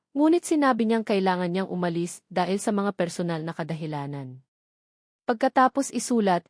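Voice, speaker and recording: A woman's voice: lowish voice, darkish tone, dry recording. Dry, close-sounding Filipino woman narrating drama. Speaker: audiobook narrator. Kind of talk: scripted dramatic story narration.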